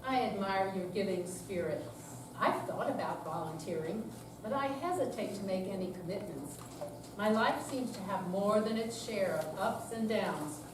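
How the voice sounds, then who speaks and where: A woman's voice: medium pitch, expressive, moderate pace, lightly acted. An older woman in an amateur staged reading, playing a patient, candid retired woman and devoted daughter. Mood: friendly, hesitant. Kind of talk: amateur readers theater. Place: senior center, recorded from afar. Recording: far-off echoey room, clear background noise.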